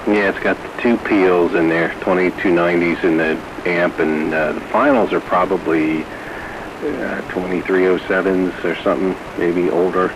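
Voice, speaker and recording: Male radio hobbyist, deep voice, easygoing slangy chat, noisy CB transmission. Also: steady tone, moderate pace. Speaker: CB radio operator. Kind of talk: casual chat over CB radio.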